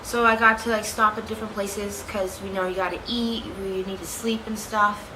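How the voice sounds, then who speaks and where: A woman's voice: medium pitch, normal speed. Rambling young Canadian woman over fan noise. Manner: chatty, rambling, self-correcting, giggly. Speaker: vlogger. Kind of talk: casual chat to camera. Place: room at home with a fan running. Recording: steady fan noise behind.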